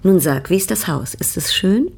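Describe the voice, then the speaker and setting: An actress playing a sophisticated, ironic baroness in a radio drama, dry and appraising. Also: female voice, on the low side, animated, moderate pace, creaky, darkish tone, breathy edge, exaggerated voice. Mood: probing. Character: sophisticated, ironic, scheming baroness, older sister.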